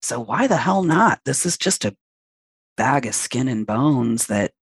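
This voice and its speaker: A man's voice: mid-range voice, expressive, a little slow, creaky, slightly rough, no room sound. A transmasculine man in his late forties, a podcast guest speaking frankly and reflectively at length with fillers. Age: late 40s. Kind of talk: candid interview conversation.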